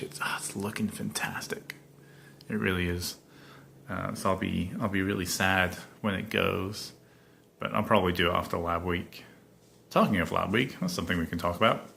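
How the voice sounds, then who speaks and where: A man's voice: sits low, creaky, bright, forceful tone, a little hiss or hum. A young man from Scotland with a faded Scottish accent, rambling chattily through a solo podcast with lots of ums. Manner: rambling, chatty, many ums and self-corrections. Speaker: podcast host. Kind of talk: casual solo podcast chat.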